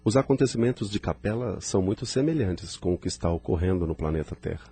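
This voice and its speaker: A man playing a calm, thoughtful spirit in an audio drama, speaking reflectively. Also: sits low, quick pace, slightly rough, dry recording, soft background music, full character voice.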